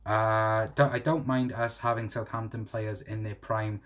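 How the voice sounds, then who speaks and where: Young Welsh man, twenties, slightly low voice, rambling casual streamer reading comments. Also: level tone, unhurried, fairly bright tone. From South Wales. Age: 20s. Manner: rambling, many fillers and "to be fair". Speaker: livestream host. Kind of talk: casual livestream chat reading viewer comments.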